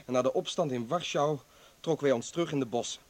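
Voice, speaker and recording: An actor in a radio play playing a bitter, defiant doctor who was a political prisoner, arguing with sarcasm and indignation. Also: male voice, medium pitch, quick pace, natural voice. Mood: bitter, sarcastic. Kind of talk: dramatic radio play acting.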